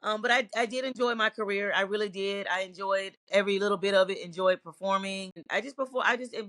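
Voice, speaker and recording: Earnest woman singer, bright dry voice. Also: medium pitch, fast talker, no echo. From Virginia, US. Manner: reflective, earnest, with frequent restarts. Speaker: singer, interview guest. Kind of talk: candid interview answers.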